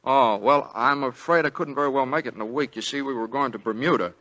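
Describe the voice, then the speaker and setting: Man playing a bright-voiced, flustered young newlywed. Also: medium pitch, fast, muffled recording, close to an everyday voice. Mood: nervous, deferential. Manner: hesitant, stammering, placating. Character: eager, nervous young newlywed lawyer, bumbling and evasive. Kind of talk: 1940s radio comedy-drama acting. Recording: 1940s radio broadcast recording.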